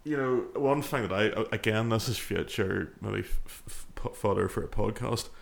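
Gravelly low-voiced man musing. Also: moderate pace. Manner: rambling, musing, trails off. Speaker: podcast co-host. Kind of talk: casual chat. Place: podcast studio.

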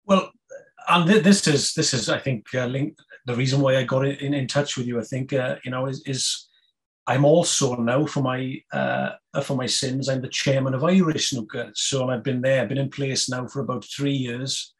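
A Welsh man in his fifties, a guest on a podcast, talking casually with many pauses, fillers and restarts. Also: mid-range voice. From Wales. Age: 50s. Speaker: guest, snooker player and federation chairman.

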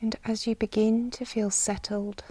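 A woman guiding a body scan meditation in a soft, breathy, soothing way, leaving long pauses between short phrases. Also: a little high, flat delivery, no room sound. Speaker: meditation guide.

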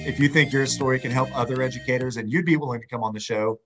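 Man, podcast co-host, slightly hoarse, friendly conversational delivery. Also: medium pitch, fast, a bit bright, faint music behind. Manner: friendly, chatty. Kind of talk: casual podcast chat.

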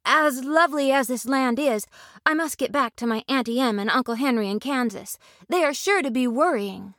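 A woman's voice: high voice, moderate pace, a bit bright, dry recording, voice pushed up. This is a polite, earnest young farm girl from a storybook radio play, voiced by a woman narrator. She speaks in a raised, girlish voice that sounds sincere and pleading. The sound is dry and close.

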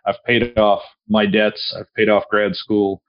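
A man in his forties talks through his plans as a podcast guest, rambling and restarting often. His voice is on the low side. The recording sounds dull and lo-fi. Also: little pitch movement, unhurried. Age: 40s. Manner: rambling, many restarts and fillers. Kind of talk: casual conversation.

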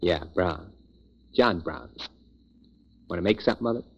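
A man's voice: low voice, normal speed, husky. An American man playing a shifty, guarded little gambler on the run in a 1940s radio drama. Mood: curt, prickly. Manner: terse, guarded, tough-talking. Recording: muffled, lo-fi 1940s radio recording.

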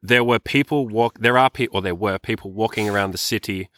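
A man's voice: fairly low pitch, brisk, fairly bright tone, no room sound. A man with an Australian accent hosting a podcast, chatting casually with a loose, sweary, filler-heavy way of talking. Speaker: podcast host. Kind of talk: casual chat.

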